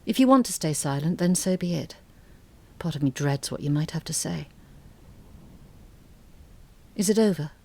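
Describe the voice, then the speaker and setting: A woman playing an articulate, bitter middle-aged mother in an audio drama monologue, speaking in a measured, intimate way. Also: low-pitched, expressive, dark tone, husky, exaggerated voice. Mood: wounded, accusing. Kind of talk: dramatic monologue, letter read as confession.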